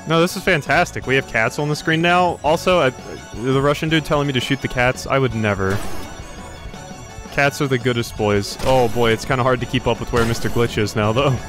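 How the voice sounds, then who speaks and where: A man's voice: medium pitch, a little fast, over music. A cheerful young man giving laughing commentary while playing a game. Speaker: let's play host. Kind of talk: gameplay commentary.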